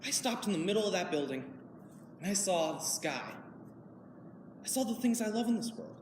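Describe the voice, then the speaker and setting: A woman performing a monologue as a bitter grown son who pleads with his father, swinging between loud and quiet. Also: mid-range voice, animated, moderate pace, background noise, natural voice. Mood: anguished. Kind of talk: audition monologue. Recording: echoey hall, from far off.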